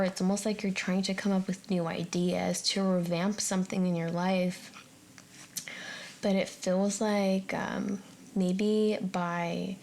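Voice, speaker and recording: A woman's voice: medium pitch, a little mellow, a little hiss or hum. A woman giving an unscripted tarot forecast straight to the viewer, musing aloud in a tentative way as she interprets each card. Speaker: tarot reader and channel host.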